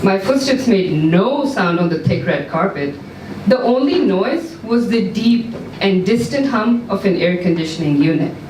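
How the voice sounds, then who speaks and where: Woman reading a suspense story out loud at a live event, kinda far from the mic, noisy roomy recording. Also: medium pitch. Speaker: story reader. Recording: roomy, distant mic, background noise, live venue.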